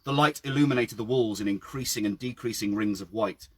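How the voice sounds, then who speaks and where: A man with a husky voice reading his own story aloud outdoors, in a dramatic storytelling style. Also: fairly low pitch, a little fast, fairly bright tone, dry recording. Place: outdoors by a well.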